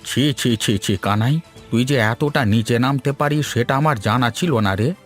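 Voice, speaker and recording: A man's voice: mid-range voice, moderate pace, faint music behind, lightly acted. A man voicing a stern, indignant middle-aged village elder in a cartoon fairy tale, scolding as he speaks. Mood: stern, reproachful.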